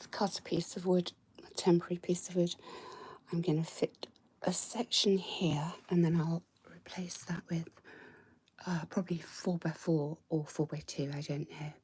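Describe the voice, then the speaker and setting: Croaky woman half-whispering thru an attic tour, slow, kinda breathy, lots of ums. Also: on the low side. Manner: soft, hesitant, trailing off with ums. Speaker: home renovation vlogger. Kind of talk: casual walk-through tour. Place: indoors in a cramped attic.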